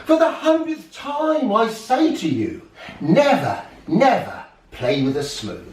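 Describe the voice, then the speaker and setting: A man playing an indignant old alien grandfather, swooping high. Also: wildly swooping pitch, takes it slowly, darkish tone, full character voice. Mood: outraged. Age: older. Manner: emphatic, indignant, chanting warnings. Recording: roomy, distant home recording with hiss.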